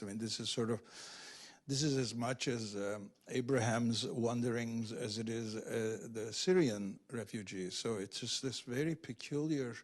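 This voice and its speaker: A man speaking as a literary translator at an event, explaining in a halting, searching, scholarly way without a script. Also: fairly low pitch. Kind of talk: unscripted explanation at a reading Q&A.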